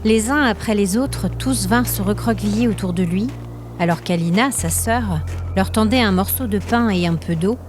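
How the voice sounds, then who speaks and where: A woman narrating scripted fiction as a storyteller, with measured, literary phrasing. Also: mid-range voice, over music.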